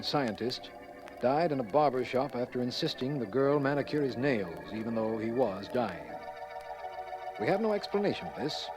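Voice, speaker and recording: An actor playing a businesslike, matter-of-fact district attorney in formal 1940s radio drama style. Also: male voice, mid-range voice, close to an everyday voice, poor audio. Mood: formal report. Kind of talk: 1940s radio drama acting and case narration.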